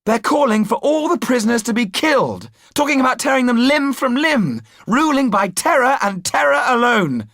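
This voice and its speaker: A man's voice: extremely high pitch, sing-song, moderate pace, hardly any pauses, a bit bright, dry recording, clean studio sound, voice pushed up, full character voice. Man as an urgent, passionate young idealist. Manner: urgent, direct. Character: earnest, defiant, passionate young male idealist.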